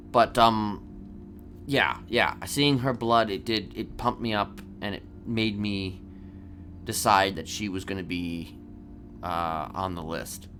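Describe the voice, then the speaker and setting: A man playing a calmly boastful, evasive serial killer in improvised crime roleplay, rambling and hesitant as he confides. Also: low-pitched, slight background noise, exaggerated voice. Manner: halting, rambling, hesitant delivery.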